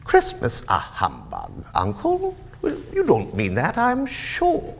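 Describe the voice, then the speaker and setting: High-pitched, cheerful young nephew. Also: male voice, huge pitch swings, slow pace, creaky, slightly rough, heavily acted. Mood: playful protest. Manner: exclamatory, warm-hearted pleading. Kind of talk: storybook character voice in dramatic reading.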